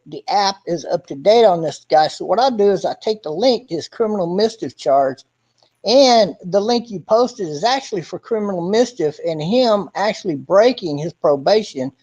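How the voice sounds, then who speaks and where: Brief: male stream host, mellow, warm tone, dull lo-fi audio, rambling explanations. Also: high-pitched. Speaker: livestream host. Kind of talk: casual livestream chat.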